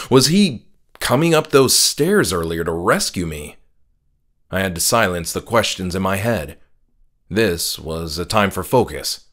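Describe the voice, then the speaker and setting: A man narrating a scripted horror audiobook in a measured, dramatic storytelling manner. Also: fairly low pitch, a bit bright. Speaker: author reading his own novella.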